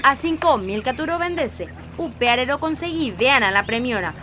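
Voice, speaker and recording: A woman's voice: high voice, lively intonation, moderate pace. A young woman playing an outspoken daughter who questions her father in a radio drama, with a Paraguayan accent. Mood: chatty. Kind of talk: radio drama acting in Jopara. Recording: noisy rural ambience.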